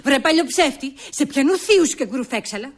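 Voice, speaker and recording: An actress playing a feisty, suspicious young fiancée in a comedy, scolding and sarcastic, with a mocking edge. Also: female voice, high-pitched, lively intonation. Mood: angry, mocking. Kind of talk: comedy acting.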